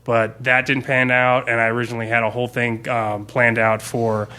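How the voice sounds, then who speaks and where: Man, bright voice, casual podcast chat, informal rambling storyteller, game master. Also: mid-range voice, little pitch movement, normal speed. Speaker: game master discussing a campaign he ran.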